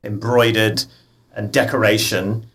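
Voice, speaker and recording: A man interviewing a guest, asking long questions in a halting way with many restarts. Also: lowish voice, flat delivery, a bit bright. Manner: halting, restarts his sentences.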